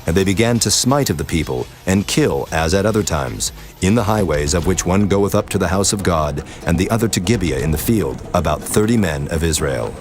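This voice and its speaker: Scripture narrator: man, low-pitched, solemn, measured formal read over a clear music bed. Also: animated. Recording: prominent music behind the voice.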